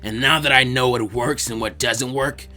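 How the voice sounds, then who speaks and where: A man voicing a smug, ruthless crime-boss businessman who speaks in a deliberate sneer, in an anime story reading. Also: mid-range voice, steady tone, pushed and bright, soft background music, voice pushed down. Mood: smug, gloating.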